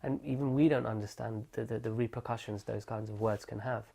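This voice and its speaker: Young man, interviewee, reflective storyteller, gravelly undertone. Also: on the low side, steady tone, fairly quick, dark tone. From England. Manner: thoughtful, self-correcting, reflective.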